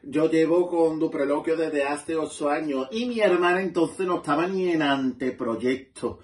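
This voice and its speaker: A man's voice: a little high, normal speed, reverberant, far from the mic, close to an everyday voice. A man playing a chatty, scatterbrained middle-aged housewife who rambles and loses her thread. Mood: gossipy. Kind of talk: stand-up character comedy.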